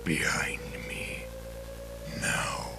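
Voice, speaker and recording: A man playing a grim, protective living scarecrow in a horror audio drama, speaking tersely in a deep, breathy rasp. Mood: urgent, protective. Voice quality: deep, gravelly, breathy rasping whisper. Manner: terse, slow, ominous. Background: music.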